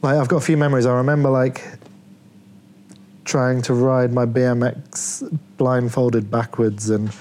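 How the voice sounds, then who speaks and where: A man's voice: medium pitch, level tone, measured pace, darkish tone. A man, an artist guest, talking casually and rambling with many restarts about his life. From England, born Plymouth, raised Leeds. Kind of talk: casual conversation.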